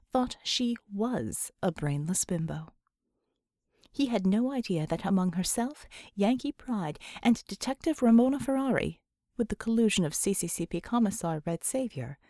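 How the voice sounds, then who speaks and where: A woman's voice: medium pitch, dark tone, no room sound, studio quality. A woman narrating scripted fiction as an audiobook reader.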